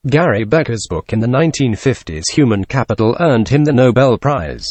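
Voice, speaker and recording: A man's voice: medium pitch, expressive, brisk, a little mellow, slightly rough, no echo. A man giving a scripted read-aloud of academic text. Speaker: test-practice narrator reading passages.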